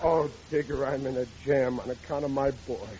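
A man's voice: medium pitch, steady tone, fairly quick, darkish tone, lightly acted. An actor playing a blustering, self-pitying, rather dim working-class father in an old radio comedy. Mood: fretful. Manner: whining, indignant, colloquial. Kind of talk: 1940s radio comedy acting. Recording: dull, noisy old radio recording.

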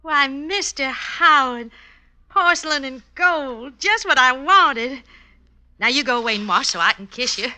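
An actress playing a sweet, devoted wife of an outlaw in a classic radio western, with a fond, folksy manner. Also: female voice, high voice, expressive, measured pace, bright, forceful tone, exaggerated voice. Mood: tender.

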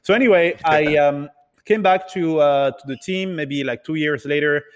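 A man who grew up in Italy and other countries, telling his story casually as an interview guest in a halting, hesitant way. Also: on the high side. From Italy and several other countries. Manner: hesitant, halting delivery with repeated words. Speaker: podcast guest, entrepreneur. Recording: reverberant, hall-like room sound.

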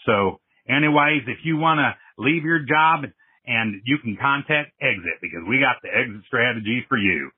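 Over a tinny, dry phone line comes a man acting out a swaggering businessman with a Southern American accent. His voice is husky, and he brags in a folksy, repetitive way, as a comic caller would. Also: medium pitch, lively intonation, normal speed, no room sound. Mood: boastful. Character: brash swaggering Japanese businessman raised American, bragging.

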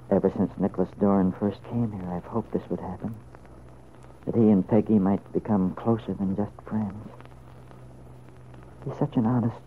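An actor playing an anxious, tender middle-aged father in an old-time radio serial, speaking in a halting, earnest way. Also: on the low side, close to an everyday voice. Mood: troubled musing. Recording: muffled, noisy vintage radio recording.

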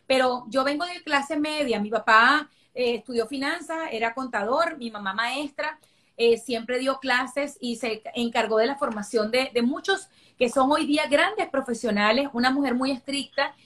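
High-voiced Venezuelan woman, emphatic. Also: moderate pace, fairly bright tone. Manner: emphatic, repeats points for stress. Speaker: guest. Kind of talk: conversational interview.

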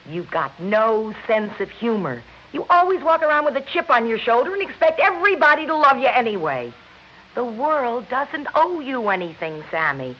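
Working-class American wife, emotional, highly varied pitch, vintage radio drama, muffled and slightly noisy. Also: female voice, very animated, normal speed. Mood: scolding. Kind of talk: old radio drama acting. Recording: dull, noisy old radio recording.

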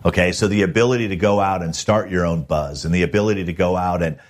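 A man hosting a podcast in a motivational, advice-giving style, emphatic and plain-spoken, with a husky voice. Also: low-pitched, fast. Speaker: podcast host, music manager.

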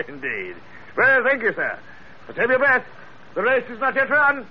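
An actor playing an overweight, sly police inspector, florid and mock-polite, in 1940s radio style. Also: male voice, extremely high pitch, huge pitch swings, normal speed, exaggerated voice. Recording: dull, noisy old radio recording.

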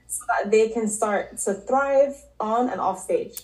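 A woman from Barbados, a podcast guest and health coach, telling her story in a relaxed, casual way with frequent ums and likes. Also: a little high, takes it slowly. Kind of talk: casual interview talk. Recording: echoey room.

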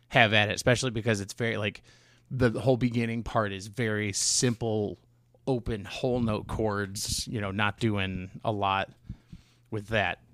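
A man speaking as a podcast co-host in casual chat, explaining things in a halting, rambling way. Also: medium pitch, a bit bright, smooth, no room sound, studio quality. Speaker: podcast co-host and songwriting musician.

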